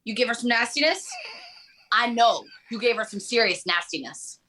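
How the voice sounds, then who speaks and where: A woman playing a brash, boastful, streetwise male carjacker in a comic table read, loud, swaggering and profane. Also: on the high side, normal speed, voice pushed down, poor audio. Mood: animated, boastful.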